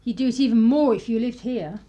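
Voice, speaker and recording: A woman's voice: on the high side, brisk, dark tone, highs cut off, close to an everyday voice. A young woman with an upper-class English accent playing a spirited, sardonic 1930s vicar's daughter in a radio play, with arch, clipped period phrasing. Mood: breezy, teasing. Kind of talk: period radio play acting, narration and dialogue.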